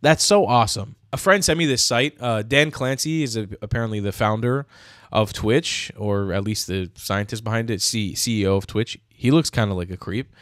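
A man's voice: lowish voice, normal speed, no room sound. A young man from the United States ranting angrily and sarcastically in his own online commentary, speaking into a studio mic in a small room. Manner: heated, sarcastic, swearing. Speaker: commentary youtuber. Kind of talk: angry commentary rant. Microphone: studio mic on stand, headphones.

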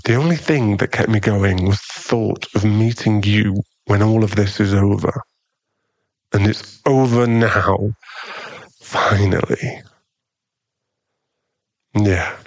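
Man playing dangerous mafia boyfriend, low gravelly voice, possessive yet tender, intimate ASMR roleplay, teasing. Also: dry recording. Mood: tender, relieved, then longing, intimate. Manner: slow, intimate, teasing, with sighs and lingering pauses.

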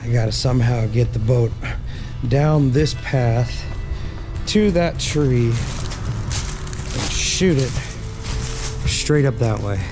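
A plain-spoken man talks to his action camera outdoors, joking his way through the job. His voice sits mid-pitched, and music plays clearly under it. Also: slow pace, long pauses. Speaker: outdoor explorer vlogger. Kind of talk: casual narration to camera. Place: outdoors by a creek under a bridge. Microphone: action camera held or mounted close.

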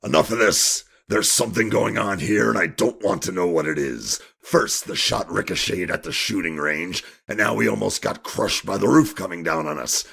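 Man acting a very deep, gravelly grumbler. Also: normal speed, hardly any pauses, a bit bright, heavily acted. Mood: angry, urgent. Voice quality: gravelly, husky. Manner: blunt, grumbling. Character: gruff, wary, sarcastic man, reluctant fairgoer. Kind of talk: audio drama acting.

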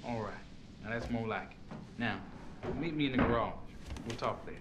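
An actor playing a skeptical sheriff's deputy in a horror video game, patronising and authoritative. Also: male voice, medium pitch, moderate pace, background noise, lightly acted. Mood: condescending, wary. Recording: faint background ambience.

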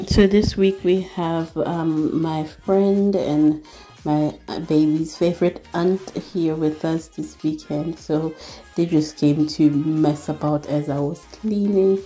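A woman's voice: low voice, steady tone, takes it slowly, low-quality recording. A young woman vlogger chatting casually in a voice-over, easygoing and laughing often. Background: soft background music.

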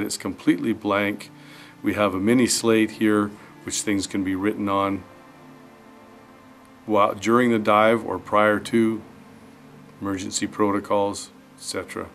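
A man in his fifties giving a measured, explanatory product demonstration as a diving instructor, speaking outdoors. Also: on the low side, a bit bright, far from the mic. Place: outdoors at a cave mouth by water.

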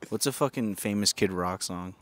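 A young man in his thirties hosting a casual comedic podcast on a backyard patio, holding a handheld mic, animated and profane, bursting into silly mock singing. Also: fairly low pitch, normal speed. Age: young adult. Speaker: podcast host.

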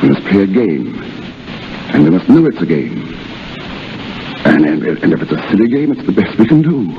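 A man's voice: deep voice, very animated, moderate pace, background noise. A man playing a tender, earnest young army officer in a 1930s radio drama, speaking with clipped dramatic delivery. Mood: tender, urgent. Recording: muffled old 1930s radio recording with music.

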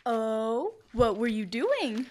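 A young actress plays a teasing housewife from an old radio comedy. Her slightly high voice is slow and knowing as she quizzes her husband. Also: big pitch swings, darkish tone. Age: young adult. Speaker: high school student actor. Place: school library room, live staged radio show. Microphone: shared stand microphone.

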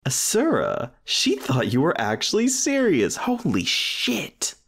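A man's voice: on the high side, huge pitch swings, slow pace, vocal fry, fairly bright tone, breathy edge, heavily acted. A man playing a snobbish young demon, a bully's sneering sidekick, in an audio roleplay. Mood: gleeful jeering. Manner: snide, jeering. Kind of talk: ASMR audio roleplay acting.

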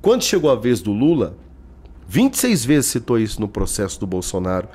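A man's voice: lowish voice, huge pitch swings, brisk, darkish tone, breathy edge. A man in his thirties with a Brazilian Portuguese accent, giving informal, emphatic political commentary straight to his viewers. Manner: colloquial, emphatic, addressing viewers directly. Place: small indoor room, home studio. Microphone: desk microphone.

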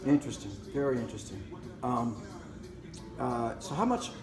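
A man with a medium-pitched voice interviews a guest in a casual way. He builds his questions haltingly, often rewording them midway. The sound is roomy, recorded in a gym a little way from the camera. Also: lively intonation, over music. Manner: halting, rephrases questions as he goes. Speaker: interviewer and host. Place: martial arts gym with mats, indoors. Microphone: camera a few feet away. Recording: echoey room.

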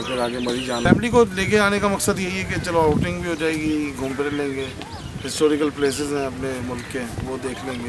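Middle-aged man, mid pitch, halting tourist, recorded outdoors. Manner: halting, with pauses and restarts. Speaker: visiting tourist. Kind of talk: documentary interview. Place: outdoors at a mosque plaza.

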